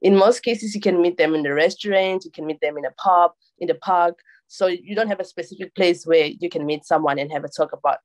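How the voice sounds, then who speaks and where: A young woman from South Africa with a South African accent, answering interview questions in a casual, friendly way, often repeating words as she searches for them, recorded close up in a small office. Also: low-pitched. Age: young adult. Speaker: interviewee, master's student. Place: small indoor office room.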